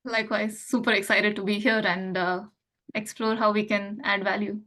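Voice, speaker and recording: A woman's voice: medium pitch, flat delivery, moderate pace, sharp tone. Woman from India, earnest interview guest. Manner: earnest, reflective, with frequent um and uh fillers. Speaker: podcast guest, finance professional. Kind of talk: casual interview chat.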